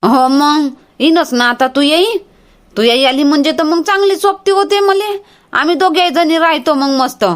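A woman's voice: high-pitched, lightly acted. A woman voicing an obliging, bossy village relative in a cartoon comedy, with a rural Vidarbha Marathi accent.

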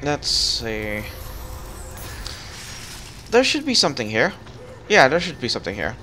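A man's voice: medium pitch, huge pitch swings, a little slow, bright, forceful tone, a little hiss or hum. A man casually chatting while co-op gaming, thinking aloud and guiding his partner. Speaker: let's play host. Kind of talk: casual co-op gameplay chat.

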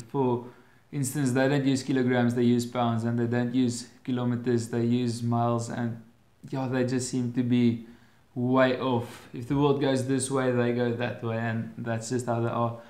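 A man's voice: mid-range voice, darkish tone. Young man with an Afrikaans accent, echoey room. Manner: hesitant, restarts phrases with many fillers. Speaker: vlogger. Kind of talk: casual Q&A vlog. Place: bedroom at home, indoors. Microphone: webcam at desk.

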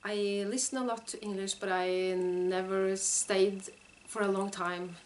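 A woman's voice: medium pitch, level tone, a little slow, slight background noise. A woman in her forties with a Norwegian accent, a knitting vlogger talking casually to the camera at home, hesitant and often searching for words. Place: living room at home.

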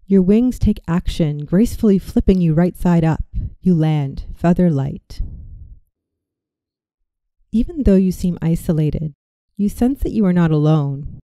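A woman leads a guided visualization in a very warm, relaxed, mellow voice that sits a little low. Her delivery is gentle and measured, like a soothing meditation guide reading a script. The sound is dry, with little room around it. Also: no echo.

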